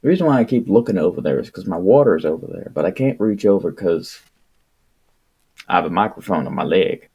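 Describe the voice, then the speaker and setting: A man livestreaming a book reading in a casual, rambling, irreverent way, with a husky voice and an exasperated, sweary manner. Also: low-pitched, animated, a little fast, dark tone, dull audio. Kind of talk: casual rambling livestream with read-aloud passages.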